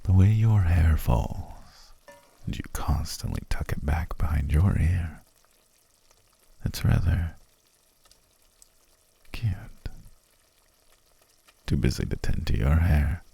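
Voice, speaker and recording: A man playing a courteous, flattering demon king in an intimate ASMR roleplay, with formal, old-fashioned phrasing and a husky, gravelly voice. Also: takes it slowly, pauses often, exaggerated voice. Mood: teasing, amused. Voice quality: very deep, gravelly, husky, breathy. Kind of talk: ASMR roleplay, intimate second-person acting.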